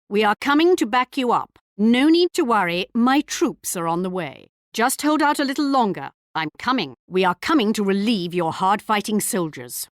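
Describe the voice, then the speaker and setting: A woman's voice: medium pitch, no echo, clean studio sound, close to an everyday voice. A woman voicing a gracious, proud English queen in a strategy game, formal and poised, with a British accent. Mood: commanding, confident. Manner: formal, poised, theatrically regal, lilting. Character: regal English queen, gracious, proud, politely cutting war ally. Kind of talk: scripted strategy game voice lines.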